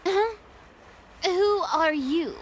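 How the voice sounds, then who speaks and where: A proud, theatrical young lady from a video game. Her high voice is lively and dramatic, a touch self-important but friendly. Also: drawn-out words, slight background noise, exaggerated voice. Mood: surprised, curious. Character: theatrical young female former celebrity, proud yet friendly.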